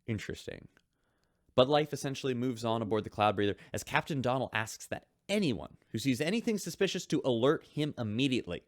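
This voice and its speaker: A man's voice: medium pitch, lively intonation, a little fast, no echo, clean studio sound, close to an everyday voice. A man voicing a chatty, wry radio news host aboard a starship, reading scripted reports.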